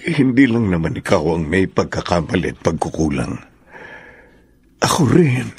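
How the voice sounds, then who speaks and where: Filipino radio drama dad, middle-aged: deep, husky, regretful, hesitant. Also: male voice, very animated, normal speed, a little mellow, no room sound, exaggerated voice. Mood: regretful, conciliatory.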